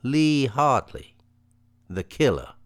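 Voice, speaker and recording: Shaken, wry survivor in a vintage radio thriller; halting, hugely animated intonation, dry close sound. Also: male voice, medium pitch, dry recording. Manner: halting, emphatic, dramatic. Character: John Taylor, shaken but wry man explaining a killing. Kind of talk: old-time radio thriller acting. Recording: vintage radio recording, dry, music behind.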